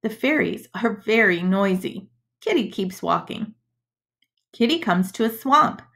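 A woman reading a storybook aloud to young children as a schoolteacher, in a deliberate, expressive manner. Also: medium pitch, gravelly. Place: indoors, webcam screen recording.

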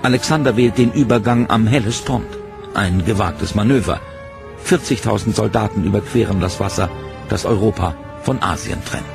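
A man giving scripted, measured documentary narration in a dramatic tone. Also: lowish voice, vocal fry. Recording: narration over loud music.

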